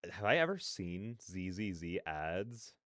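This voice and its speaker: A man hosting a reaction video, giving casual, slangy and excitable commentary. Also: sits low, slow, dry recording, clean studio sound.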